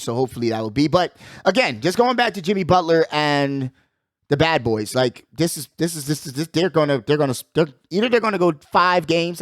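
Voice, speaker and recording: A man hosting a casual sports talk show, giving animated, slangy commentary and repeating phrases for emphasis. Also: on the high side, dry recording, clean studio sound. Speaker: sports podcast host.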